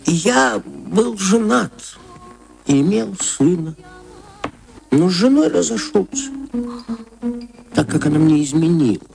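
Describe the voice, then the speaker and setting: Creaky-voiced, bitter young army vet. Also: male voice, high voice, expressive, slow pace, pauses often, a little mellow, faint music behind, heavily acted. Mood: bitter, confiding. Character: bitter young army veterinarian, separated from his wife.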